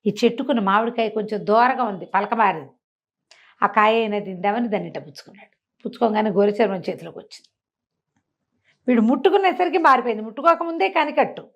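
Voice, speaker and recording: A woman's voice: on the high side, fast, lo-fi sound. A woman telling a folk tale aloud in a conversational way, acting out bits of dialogue for a listener. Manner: conversational, acts out dialogue, checks listener follows. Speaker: storyteller. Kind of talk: animated oral storytelling of a moral folk tale to a listener.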